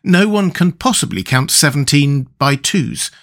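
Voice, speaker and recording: A man's voice: a little high, lively intonation, unhurried, fairly bright tone. A man voicing an earnest, thoughtful, inquisitive young boy with a direct storybook manner. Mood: disappointed.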